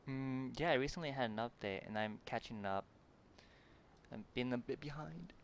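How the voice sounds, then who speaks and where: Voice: medium pitch, moderate pace, a little hiss or hum. A game streamer giving casual live playthrough commentary in short, murmured reactions and remarks. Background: game music.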